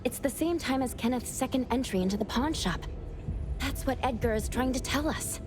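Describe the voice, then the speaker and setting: A voice actress playing a composed, analytical young female investigator in a video game, reasoning aloud in a measured, serious way. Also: sits high, over music, close to an everyday voice. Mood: intent, suspicious. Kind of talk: video game character acting.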